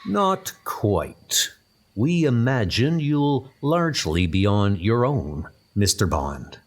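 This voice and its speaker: A man voicing a steady, fatherly British commander who gives reassuring, unhurried advice as a mentor in a comic dub. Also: medium pitch. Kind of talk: comic dub spy drama acting.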